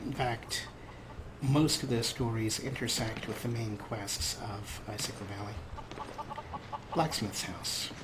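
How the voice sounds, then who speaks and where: A man's voice: lowish voice, steady tone, slow pace, noisy background. A man hosting a let's play, giving casual game commentary with wry, dry humor. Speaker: gaming video host.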